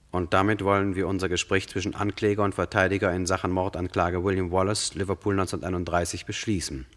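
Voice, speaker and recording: An actor playing a serious male host narrating a 1960s radio drama, measured and rhetorical as he addresses the listeners. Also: low voice, natural voice.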